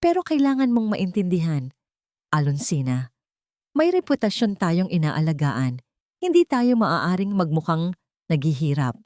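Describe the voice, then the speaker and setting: Lady doing a snooty rich older mother-in-law, bossy and cold, lowish voice, dry sound. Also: sing-song, unhurried, mellow, dry recording. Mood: condescending. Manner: commanding, condescending.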